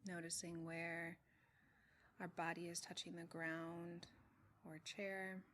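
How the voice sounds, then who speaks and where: A woman leads a guided meditation in a slightly low voice that stays very even in pitch. She speaks gently in short, measured phrases and leaves long pauses between them. There is faint noise and ambience behind her. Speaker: meditation teacher. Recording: clearly noisy with room ambience.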